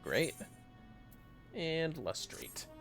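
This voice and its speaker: A man's voice: on the high side, big pitch swings, drawn-out words, creaky, over music. A man giving casual, chatty gameplay commentary with joking asides as he livestreams a fantasy game. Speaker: livestreamer playing an online fantasy RPG.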